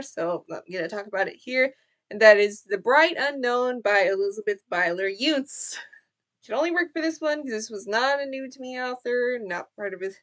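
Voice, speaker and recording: A young woman chatting about books in a casual vlog, rambling a bit, with fillers and restarts. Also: fairly high pitch. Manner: chatty and rambling, with frequent fillers and self-corrections. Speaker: book vlogger. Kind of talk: casual book tag vlog.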